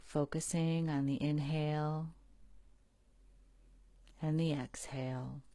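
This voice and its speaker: Female meditation guide, low-pitched and soothing, very slow delivery, dry close sound. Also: dry recording. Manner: calm, unhurried, soothing, with long pauses. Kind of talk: guided relaxation narration.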